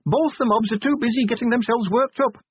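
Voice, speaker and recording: Man as high-pitched dwarf sergeant, tinny audio. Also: fairly quick, husky, no echo. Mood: urgent. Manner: urgent, raised-voice reporting. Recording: tinny, narrow-band radio-like audio.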